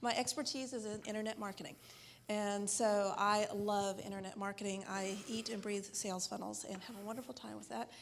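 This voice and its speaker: A woman's voice: medium pitch, fairly even intonation, fairly quick, creaky, slight background noise. A middle-aged woman from Texas introducing herself chattily as a guest speaker on stage. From Texas, United States. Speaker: guest speaker, internet marketer. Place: stage at a filmed live event.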